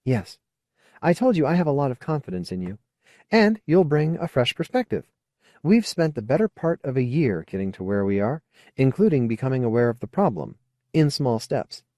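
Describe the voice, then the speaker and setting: A man's voice: mid-range voice, big pitch swings, moderate pace, dark tone, no room sound, clean studio sound, pitched above the performer's own voice, close to an everyday voice. A man voicing a frank, earnest, coaxing woman in an audiobook. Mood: pleading, persuasive. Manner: earnest, coaxing, plain-spoken. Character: frank, persuasive woman colonist, old friend. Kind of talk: audiobook character dialogue.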